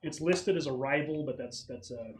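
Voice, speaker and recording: A man with a middle-pitched voice works as game master at a tabletop session. He explains the game in a halting, explanatory way. There is clear noise with some clicking behind him. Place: room at a gaming table with friends.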